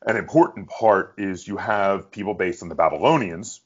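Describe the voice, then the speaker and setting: Male host, fairly low pitch. Relaxed conversational questioning, muffled low-fidelity recording. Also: moderate pace. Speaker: interviewer, host. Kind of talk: casual interview conversation. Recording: dull, lo-fi audio.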